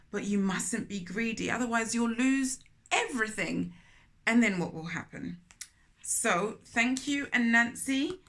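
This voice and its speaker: Woman, children's storyteller, bright tone, slightly high pitch, slow-paced, expressive, chatty asides to young listeners. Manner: expressive, questioning, talks directly to young listeners. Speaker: storyteller reading a children's book aloud. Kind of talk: animated children's read-aloud with asides to listeners.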